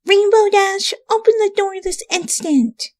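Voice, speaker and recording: A woman's voice: very high-pitched, a little slow, a little mellow, no echo, pitched above the performer's own voice. A woman voicing an earnest, bossy young female pony scholar in a story reading, articulate and scolding. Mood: indignant.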